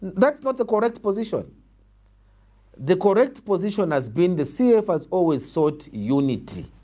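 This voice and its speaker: A man's voice: sits high, lively intonation, normal speed, dark tone. A man with a Zambian accent giving argumentative political answers, emphatic and repeating phrases for stress. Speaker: opposition party politician, guest.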